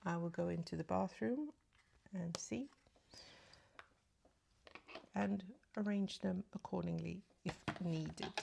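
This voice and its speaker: A woman chatting casually through a makeup tutorial, speaking softly and often half-whispering, with a slight cold and a sore throat. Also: on the low side, vocal fry, slight background noise. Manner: soft, often half-whispered asides while applying makeup. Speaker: beauty vlogger. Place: at home in front of a mirror.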